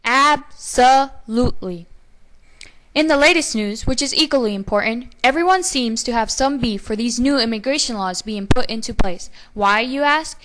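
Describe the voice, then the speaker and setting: A woman's voice: on the high side, a bit bright. A young woman playing a slangy 1920s radio co-hostess, reading her script aloud. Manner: scripted, read-aloud delivery with period slang. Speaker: radio co-host.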